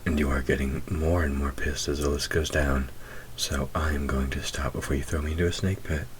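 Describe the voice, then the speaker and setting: A man playing a wry demon hunter who is himself a demon, teasing yet protective, speaking intimately and with measured sarcasm in an audio roleplay. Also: rumbling low, a little hiss or hum. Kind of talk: scripted audio roleplay spoken to the listener.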